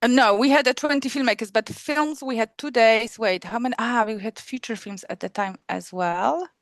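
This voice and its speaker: Polish-accented woman, halting speech. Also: on the high side, no echo. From Poland. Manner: halting, many restarts and laughs. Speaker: film festival co-founder. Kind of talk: casual interview chat.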